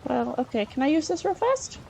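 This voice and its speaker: Woman, high soft-edged mellow voice, halting in-character game narration, musing aloud, faint background noise. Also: sing-song, gravelly. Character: chatty Breton scholar woman, treasure-hunting adventurer. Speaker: let's play host role-playing a character.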